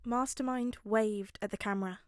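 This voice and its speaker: A woman's voice: medium pitch, fairly even intonation, normal speed, dry recording, studio quality. A woman narrating a scripted story as a podfic reader.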